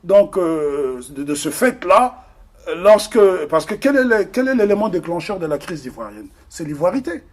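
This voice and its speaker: A man's voice: high-pitched, sing-song, normal speed. A man from the Republic of the Congo, speaking as an interview guest in an earnest, emphatic way with frequent hesitations. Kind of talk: interview discussion.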